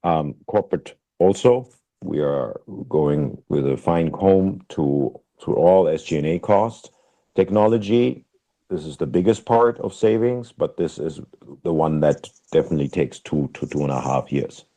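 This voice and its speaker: A man speaking as a company executive, answering questions on an earnings call in a halting way with repeated words. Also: a bass voice, lively intonation, warm tone.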